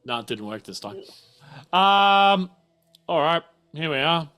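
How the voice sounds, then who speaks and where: Bright-voiced, rambling man. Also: a little high, sing-song, takes it slowly, clear, resonant tone, faint music behind. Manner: rambling, sweary, self-interrupting. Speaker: game master. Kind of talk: casual tabletop game banter.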